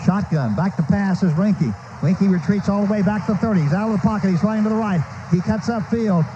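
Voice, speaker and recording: A high-voiced American man calls football play by play on the radio. His clipped running phrases swell as the play develops. The broadcast is muffled, with clear noise behind him. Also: fast talker. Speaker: play-by-play announcer. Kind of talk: live radio football commentary. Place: stadium broadcast booth.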